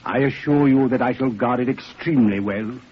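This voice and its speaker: Actor as courteous detective, muffled old radio. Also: male voice, medium pitch, a little fast, husky, natural voice. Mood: earnest, confident. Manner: courteous, earnest. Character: polite, deferential, confident male private detective. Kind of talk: 1940s radio acting. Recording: muffled, hissy 1940s radio recording.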